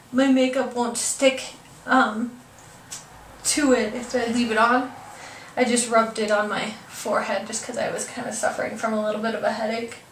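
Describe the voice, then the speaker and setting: A woman's voice: mid-range voice, fairly bright tone. A young woman with a congested, stuffed-up voice talks casually and hesitantly, apologizing often as she works through a makeup tutorial. She sits some distance from the camera mic in a home room that rings with echo, and a faint hiss runs underneath. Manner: chatty, hesitant with many ums, apologetic. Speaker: beauty vlogger.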